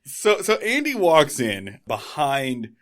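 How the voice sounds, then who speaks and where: A man's voice: a little high, slow pace. A man co-hosting a casual podcast, recapping a sitcom episode with jokes and asides. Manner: animated, jokey recapping of an old sitcom episode. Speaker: podcast co-host.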